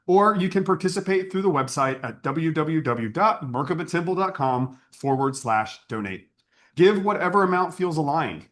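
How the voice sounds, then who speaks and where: Male spiritual service leader, slightly high pitch, highly varied melody, earnest and measured scripted address. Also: a bit bright.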